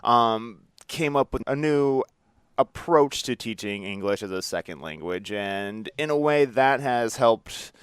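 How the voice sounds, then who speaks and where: A man's voice: mid-range voice, expressive, unhurried, clear, resonant tone. A man hosting an interview podcast, speaking in a casual, informal way. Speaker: podcast host. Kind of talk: scripted intro and interview chat.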